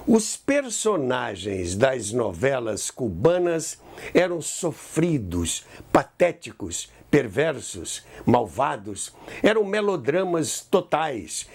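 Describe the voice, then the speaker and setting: A man's voice: fairly high pitch, a little mellow. A Brazilian man hosting a history podcast, telling a scripted story in a theatrical way with conversational asides. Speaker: host and narrator of a radio history podcast.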